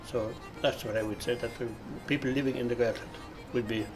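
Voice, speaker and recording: A middle-aged man giving a reflective interview, pausing and searching for his words. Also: mid-range voice, steady tone, over music. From Ireland. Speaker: local interviewee, Irish speaker.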